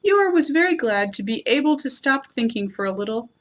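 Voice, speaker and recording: Highly expressive woman reading a storybook aloud. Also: fairly quick. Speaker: library storyteller. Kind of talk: children's storybook narration.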